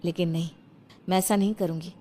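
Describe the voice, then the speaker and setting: A woman's voice: fairly low pitch, steady tone, fairly quick, mellow, slight background noise, pitched below the performer's own voice, natural voice. A woman voicing a determined young village daughter-in-law in a cartoon story, speaking in a firm, earnest manner. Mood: resolute.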